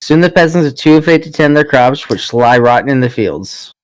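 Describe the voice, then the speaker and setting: A man's voice: medium pitch, expressive, brisk, no room sound. A man playing a party game with friends, reading story narration aloud in between casual remarks. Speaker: player reading narration and his character's lines.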